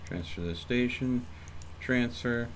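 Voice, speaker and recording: A male streamer with a medium-pitched voice chats casually while gaming. He rambles and thinks out loud as he goes. There is some noise behind him. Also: a little slow. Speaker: live streamer playing a video game. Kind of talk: casual livestream gameplay chat.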